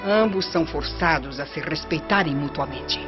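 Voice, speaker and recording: A woman's voice: low voice, normal speed, natural voice. An actress playing a scheming, embittered Roman noblewoman in a radio drama, formal and insinuating in manner. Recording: dull, band-limited radio recording, music bed.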